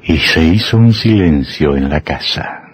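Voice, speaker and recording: Slow, sinister horror storyteller. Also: male voice, rumbling low, lively intonation, husky, exaggerated voice. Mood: suspenseful. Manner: theatrical, with drawn-out words and dramatic pauses. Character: sinister male horror storyteller, slow, mocking, gleefully macabre. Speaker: narrator and host of a horror radio drama. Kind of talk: vintage horror radio drama narration. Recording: noisy old radio drama recording.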